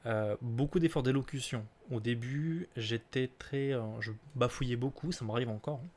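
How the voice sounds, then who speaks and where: A man's voice: mid-range voice, normal speed, darkish tone, a little hiss or hum. Late twenties guy streaming a q&a, lots of euh and restarts, laid back. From southern France. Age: late 20s. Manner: hesitant, many fillers and restarts, laughs easily. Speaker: streamer answering viewer questions. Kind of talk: casual live stream Q&A.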